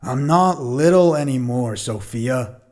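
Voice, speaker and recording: A man's voice: mid-range voice, animated, slow, a little mellow. An actor playing a surly, cocky eighteen-year-old male lynx in an audio drama, sullen and dismissive in manner. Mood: surly, irritated. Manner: sullen, dismissive, foul-mouthed. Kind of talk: audio drama character acting.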